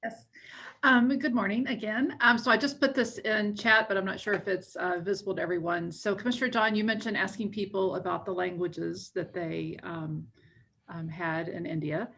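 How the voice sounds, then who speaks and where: A woman's voice: mid-range voice, steady tone, normal speed, creaky, fairly bright tone. A woman representing a library, speaking in a friendly, informative way on a video call. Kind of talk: casual meeting talk.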